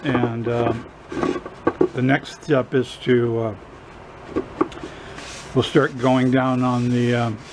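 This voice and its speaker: An older man with a mid-pitched voice talks through a hands-on job in a casual, folksy way. He sounds like a plainspoken how-to host. Clear background noise from the garage workshop sits behind his voice. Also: flat delivery, slow pace, long pauses. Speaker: drum restorer hosting a how-to video. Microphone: camera a short distance away.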